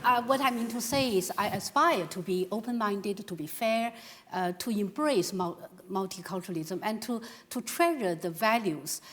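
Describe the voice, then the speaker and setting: A woman's voice: mid-range voice, normal speed, fairly bright tone. A woman making an earnest personal statement as a panellist, in English. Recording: echoing hall with long reverb.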